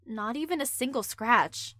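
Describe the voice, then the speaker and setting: A woman's voice: fairly high pitch, expressive, a little slow, fairly bright tone, no echo, studio quality. A woman playing a protective, suspicious young pack member in a roleplay drama, firm and earnest.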